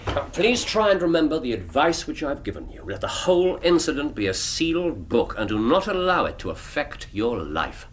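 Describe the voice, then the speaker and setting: An actor playing a courteous, authoritative Victorian detective with an English accent and a husky voice, formal and measured, in a radio drama. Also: male voice, on the high side, very animated, slight background noise. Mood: grave reassurance. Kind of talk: period radio drama acting.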